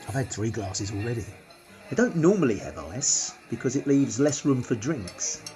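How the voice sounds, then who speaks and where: A man's voice: mid-range voice, sing-song, moderate pace, warm tone, faint music behind, lightly acted. A man playing a chatty, penny-pinching British vlogger. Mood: smug, matter-of-fact. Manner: chatty, matter-of-fact, addressing viewers. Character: penny-pinching, self-satisfied male money-saving vlogger. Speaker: actor. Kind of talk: radio play monologue as a vlog.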